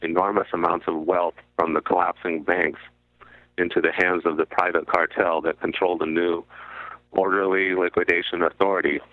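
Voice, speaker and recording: A man speaking as a radio guest, explaining in deliberate, halting short phrases over a narrow call-in line. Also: deep voice, little pitch movement, no echo. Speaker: guest commentator on a radio show. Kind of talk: explanatory talk about finance. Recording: muffled narrow phone-like line.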